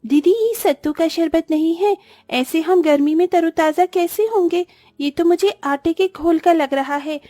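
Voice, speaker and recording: A woman's voice: extremely high pitch, unhurried, warm tone, dry recording, studio quality, faint music behind. A woman voicing a poor young orphan girl in a cartoon story, whiny and childlike. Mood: disgusted, complaining. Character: poor young orphan girls, plaintive little sisters. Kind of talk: scripted cartoon dialogue.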